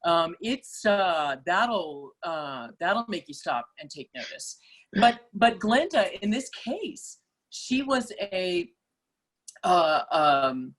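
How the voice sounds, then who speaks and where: A middle-aged woman opera singer, speaking as a guest in a relaxed interview, thoughtful and full of small restarts and fillers. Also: mid-range voice. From Malaysia. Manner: thoughtful, many restarts and fillers, laughs easily. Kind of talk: casual interview chat.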